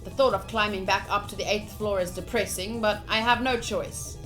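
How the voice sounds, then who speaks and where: A woman streamer playfully reading visual novel text aloud, with casual asides in an expressive delivery. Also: medium pitch, normal speed, rough recording. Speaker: game streamer. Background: game music.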